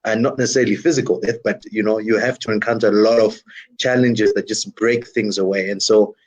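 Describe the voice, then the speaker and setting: A man's voice: on the low side, steady tone, a little fast, slightly rough, lo-fi sound. A man from DR Congo whose first language is French, a worship leader talking thoughtfully as an interview guest, with frequent "you know" fillers. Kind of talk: reflective interview talk.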